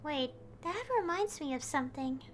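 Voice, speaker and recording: A woman voicing a calm, thoughtful young traveler who speaks reflectively. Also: high voice, moderate pace, a little hiss or hum. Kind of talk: fan voice-over of a game scene.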